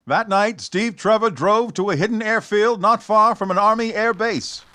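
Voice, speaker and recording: A man's voice: sits high, normal speed, bright, forceful tone. A man acting as an urgent, dramatic narrator of a scripted radio serial. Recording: light background noise.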